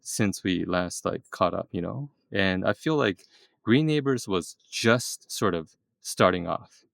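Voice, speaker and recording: An excitable man hosting a relaxed interview, his voice low and gravelly. He talks in bursts with plenty of fillers and restarts. The sound is close and dry. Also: a little slow, no echo. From East Asia. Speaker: podcast host. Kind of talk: casual interview chat.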